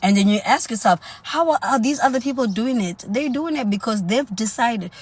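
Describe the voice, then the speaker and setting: A woman's voice: on the high side, lively intonation, brisk. A young woman from South Africa with a South African accent, chatting sassily on a live stream and calling her viewers honey and girl. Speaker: dating lifestyle live streamer. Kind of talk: casual live stream chat.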